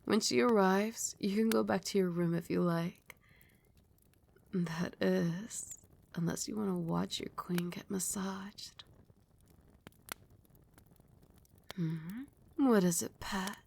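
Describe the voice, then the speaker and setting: A woman voicing a doting, commanding vampire queen in an intimate ASMR roleplay, with formal, coaxing speech and a breathy voice that often drops into whispers. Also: mid-range voice, darkish tone, slight background noise. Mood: weary, composed. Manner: slow, formal, coaxing pet names.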